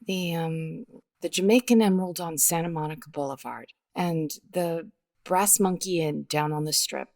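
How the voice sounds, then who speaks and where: An actress playing a guarded, weary 1950s widow in a radio mystery, with a hesitant, melodramatic delivery. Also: female voice, deep voice, normal speed, vocal fry, darkish tone. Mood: weary, reluctant. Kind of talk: 1950s radio drama acting.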